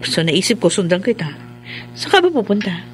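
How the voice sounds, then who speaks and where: A woman's voice: mid-range voice, very animated, brisk, faint music behind, lightly acted. An actress playing a curious young woman in a radio drama, suspicious and questioning in her delivery. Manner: probing, questioning.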